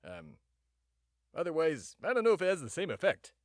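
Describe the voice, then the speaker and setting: A man's voice: a little high, huge pitch swings, moderate pace, no room sound, clean studio sound. A man playing a pompous, self-important male patriot who hosts a comedy podcast in a mock-serious, grandiose way. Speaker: podcast host. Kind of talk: mock-serious comedic monologue and dramatic reading.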